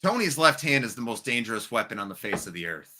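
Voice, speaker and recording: A man's voice: medium pitch, very animated, fast talker, sharp tone. A man co-hosting a podcast in casual, profane banter, throwing in crude, brash remarks. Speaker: wrestling podcast co-host.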